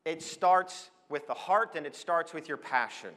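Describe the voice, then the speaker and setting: A man's voice: a little high, a little slow, fairly bright tone. An American man in his forties giving an emphatic, enthusiastic motivational business presentation on stage to an audience, in a large hall. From Switzerland, then Oregon. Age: 40s. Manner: emphatic, enthusiastic, addresses listeners with questions. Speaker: conference speaker, advertising professional. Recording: long echo of a large hall.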